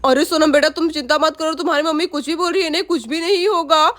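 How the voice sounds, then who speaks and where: A worried, gentle middle-aged father, put on by a woman whose voice stays fairly high. He soothes and reassures with urgency. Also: fairly even intonation, fast.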